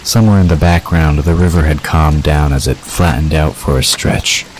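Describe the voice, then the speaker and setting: Extremely deep-voiced male story narrator. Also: fairly even intonation, normal speed, slight background noise, poor audio. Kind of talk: scripted fiction narration.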